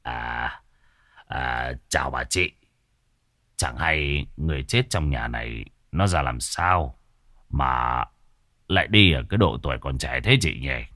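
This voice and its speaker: A man doing a gruff, boastful old village sorcerer. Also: very deep voice, slow pace, pauses often, sharp tone, no room sound, clean studio sound, voice pushed down, exaggerated voice. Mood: wheedling. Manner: gruff, cursing, muttering. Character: boastful scruffy aging male village sorcerer.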